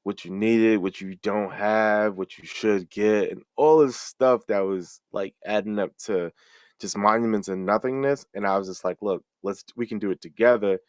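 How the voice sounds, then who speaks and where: A middle-aged man with a fairly low voice talks through his answers in a friendly, reflective way. He halts and restarts often, and the recording is dry and close with no room sound. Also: no echo. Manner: rambling, halting, many restarts and fillers. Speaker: guest, fellow interviewer and streamer. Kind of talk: casual interview answers.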